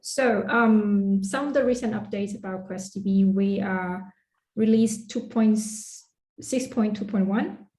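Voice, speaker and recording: A woman with a mellow, dark-toned voice hosts an online meetup over a video call. She talks in a friendly, hesitant way, full of fillers and restarts, and her voice rings a little in an echoey room. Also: medium pitch, slow. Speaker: meetup host.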